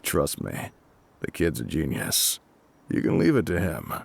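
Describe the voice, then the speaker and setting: A male actor plays a kindly, gruff fire captain in middle age, speaking close and reassuringly in an audio roleplay. The voice is husky and heavily gravelled, with lively rises and falls. He can turn a little awkward and hesitant, yet stays protective. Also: extremely low pitch, a little slow, heavily acted. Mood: calm, reassuring. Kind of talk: intimate audio roleplay acting.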